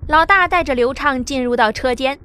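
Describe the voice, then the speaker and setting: High-voiced woman narrating a novel. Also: a little fast, pushed and bright, clear, resonant tone, soft background music. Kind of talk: scripted audiobook narration.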